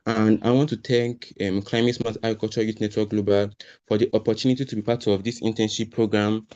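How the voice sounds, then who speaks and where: Teen boy reading out a thank-you speech, mellow kinda flat voice, student intern. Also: lowish voice, fairly quick. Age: 15, teenager. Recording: dull, lo-fi online call audio.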